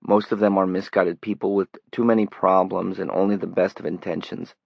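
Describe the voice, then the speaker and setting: A man voicing an earnest older male doctor giving measured, emphatic advice. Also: deep voice, level tone, creaky, no room sound, highs cut off.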